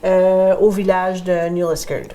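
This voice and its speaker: A woman's voice: on the low side, flat delivery, slow, a crowd in the background. A middle-aged woman from Ontario, a new co-host giving short, hesitant answers with fillers. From Ontario, Canada.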